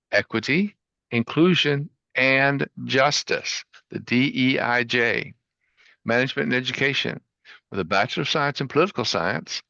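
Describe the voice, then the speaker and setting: A man's voice: medium pitch, vocal fry, pushed and bright, no room sound, muffled recording. A man hosting an online show, introducing his guest with upbeat enthusiasm over a video call. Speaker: host.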